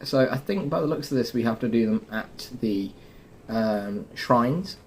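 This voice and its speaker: A man's voice: medium pitch, animated, measured pace, a little hiss or hum. A man hosting a gaming video, giving a casual walkthrough and explaining each step directly to viewers. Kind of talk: casual game walkthrough commentary.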